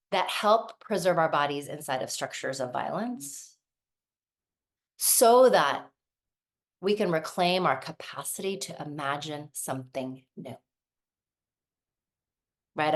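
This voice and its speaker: Low-voiced woman leading a reflective workshop. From Lebanon, raised in the United States. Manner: thoughtful, often checks in with "right?". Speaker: somatic movement practitioner leading a workshop.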